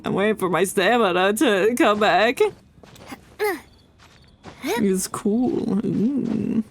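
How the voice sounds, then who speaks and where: A woman's voice: mid-range voice, slow. A woman streaming a game with casual, playful, rambling commentary that often halts. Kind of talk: casual joking gameplay commentary. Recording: game music faintly behind.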